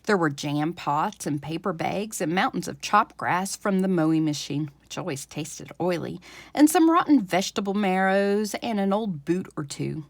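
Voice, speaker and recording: Female storyteller for a children's tale, lively swooping intonation, close dry sound. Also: on the low side, moderate pace, no room sound. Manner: animated, dramatic emphasis. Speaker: library storyteller. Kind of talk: expressive children's storybook reading.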